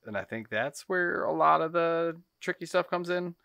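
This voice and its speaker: A man's voice: a little high, lively intonation, moderate pace, creaky, bright, forceful tone, dry recording. A man co-hosting a podcast, explaining his views in casual conversation. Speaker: podcast co-host. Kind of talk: casual chat, explanatory discussion.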